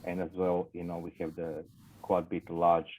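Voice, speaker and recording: A man with a Croatian accent, a guest in an interview, speaking English as a second language with many fillers and halting phrasing. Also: deep voice, completely flat delivery, mellow, a little hiss or hum, low-quality recording. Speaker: guest, investigations business owner. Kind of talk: casual interview talk.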